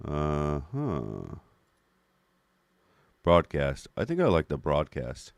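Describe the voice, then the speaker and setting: A man with a deep voice, thinking aloud with many fillers as he tries out settings during a stream. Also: wildly swooping pitch, slow pace, vocal fry, no echo. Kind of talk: casual talk while testing voice settings.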